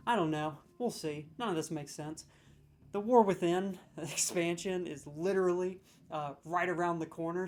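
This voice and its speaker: A man's voice: a little high, darkish tone. A man hosting a gaming video, signing off in a rambling, hesitant way with swearing. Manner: rambling, profane, hesitant with fillers. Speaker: video host. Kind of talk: casual video outro.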